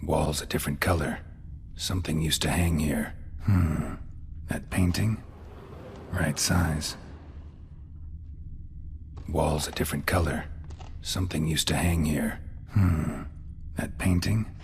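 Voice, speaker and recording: An actor playing a terse, world-weary monster hunter in a video game, with a low gravelly murmur and clipped, deadpan delivery. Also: male voice, slow, pauses often, slight background noise, heavily acted. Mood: pensive, muttering. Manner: clipped, deadpan, muttering observations.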